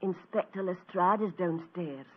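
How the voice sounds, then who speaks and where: A woman's voice: on the low side, measured pace, slightly rough. A woman playing a motherly, teasing housekeeper in an old radio drama, chirpy and insistent. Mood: cheerful. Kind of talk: 1940s radio drama acting. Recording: thin, lo-fi old radio broadcast.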